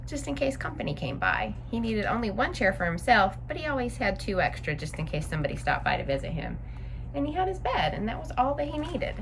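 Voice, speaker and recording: A woman's voice: a little high, sing-song, brisk, gravelly, a little hiss or hum, rough recording. A woman reading a picture book aloud to young children, adding simple explanatory asides as a story-time teacher.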